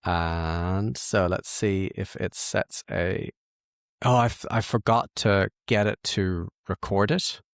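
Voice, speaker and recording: A man hosting a casual software tutorial, thinking aloud and correcting himself as he works through the screen in an informal way. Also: deep voice, fairly even intonation, takes it slowly, vocal fry, dry recording, clean studio sound.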